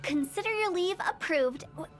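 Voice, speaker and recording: A woman's voice: very high voice, expressive, moderate pace. A woman playing a mischievous, playfully morbid young funeral director girl in an anime game, teasing and theatrical. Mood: cheerful, teasing. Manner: theatrical, teasing, cheerfully ominous.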